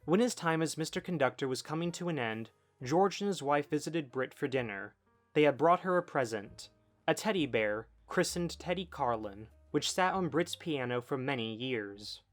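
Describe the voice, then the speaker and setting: A man's voice: on the high side, lively intonation, moderate pace. A man reading scripted documentary narration as a video essay narrator. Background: background music.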